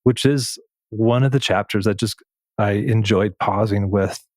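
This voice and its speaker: A man interviewing in a reflective, measured way, halting and pausing between short phrases. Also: fairly low pitch, a little mellow, no room sound. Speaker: podcast host, interviewer. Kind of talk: reflective interview conversation, some reading aloud.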